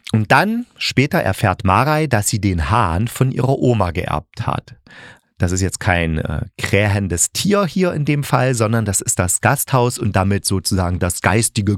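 A man's voice: lowish voice, no echo, studio quality. A man hosting a podcast, giving a casual, conversational review in a chatty way with wry asides to his listeners. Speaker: podcast host reviewing audio dramas. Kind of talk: casual spoken review, telling plots conversationally.

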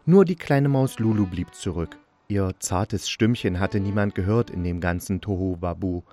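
A man's voice: sits low, normal speed, warm tone, soft background music. A man narrating a scripted children's story as the storyteller.

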